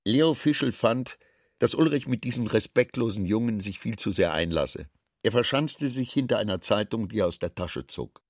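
A man narrating a literary radio drama in a deliberate, matter-of-fact manner. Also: lowish voice, lively intonation, no room sound, clean studio sound.

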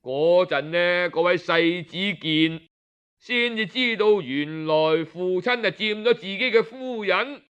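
A man with a Cantonese accent telling a story in a traditional oral style, colloquial and expressive, with drawn-out particles. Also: high-pitched, clear, resonant tone.

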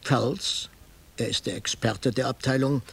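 Gravelly hardboiled detective. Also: male voice, mid-range voice, fairly even intonation, unhurried, heavily acted. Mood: dry, matter-of-fact. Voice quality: gravelly, husky. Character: hardboiled male private detective narrating his case. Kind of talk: radio crime drama narration.

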